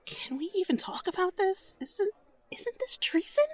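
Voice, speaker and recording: A woman's voice: extremely high pitch, very animated, a little slow, darkish tone, dry recording, whispered, full character voice. A woman acting in an audio drama as a wary, weary former captive with a hesitant, guarded way of speaking. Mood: fearful.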